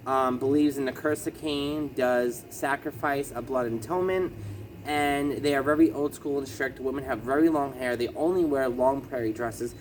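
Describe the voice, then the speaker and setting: A man's voice: mid-range voice, background noise. A man with a lisp talking through a casual explanatory vlog, hesitant and full of ums and self-corrections. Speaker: vlogger.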